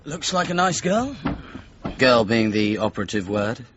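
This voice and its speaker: A man's voice: medium pitch, very animated, a little slow, highs cut off, background noise. An actor playing a wry, frank young son who teases his father in a British radio comedy-drama, with a dry, direct delivery. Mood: wry, teasing. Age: young adult.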